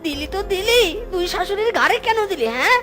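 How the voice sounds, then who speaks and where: A performer voicing an excitable, cheeky young male cartoon animal son-in-law in exaggerated, exclaiming dialogue. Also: extremely high pitch, fast talker, over music. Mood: furious, ranting.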